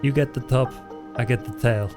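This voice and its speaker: A man's voice: mid-range voice, steady tone, moderate pace, music in the background, close to an everyday voice. A man playing a nervy, streetwise vampire in a role-play podcast, speaking in a hesitant, wry, colloquial way. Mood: wry, uneasy. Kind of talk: in-character tabletop role-play.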